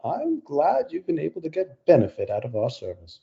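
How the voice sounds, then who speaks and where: A man's voice: a little high, huge pitch swings, brisk, creaky, dark tone, dull audio, heavily acted. A man playing a polite, confident AI company spokesman in an audio play. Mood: polite, casual. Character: smooth-mannered, confident male AI company spokesman.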